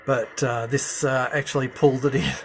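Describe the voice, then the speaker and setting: A man with a mid-pitched voice presents an informal, hands-on demonstration. He speaks haltingly, pausing often as he works. The handheld indoor recording carries some faint noise. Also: steady tone, a bit bright. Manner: hesitant, halting delivery with many pauses. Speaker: amateur CB radio hobbyist presenter. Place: indoor room at home with radio gear.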